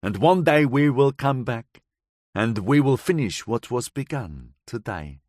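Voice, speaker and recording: A man reading a storybook plays a hardened woman who speaks slightly broken English and relives old crimes with bitter intensity. Her voice sits higher than the narrator's and swings widely in pitch. It is recorded close and dry. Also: expressive, a little slow, a little mellow, no room sound, natural voice. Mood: grim storytelling. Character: hardened vengeful woman recounting her past.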